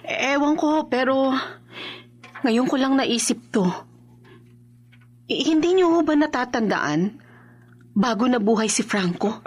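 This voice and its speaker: A woman's voice: high voice, lively intonation, slow, long pauses, breathy edge, exaggerated voice. A woman playing a worried young mother and wife in a horror radio drama, earnest and hesitant. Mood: worried, suspicious. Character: anxious young mother and wife growing suspicious of her husband.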